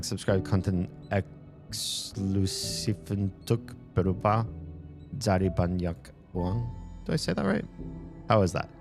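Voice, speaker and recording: A man chatting casually and at length on a live stream from a room at home, rambling and correcting himself as he goes. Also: on the low side. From United States. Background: music.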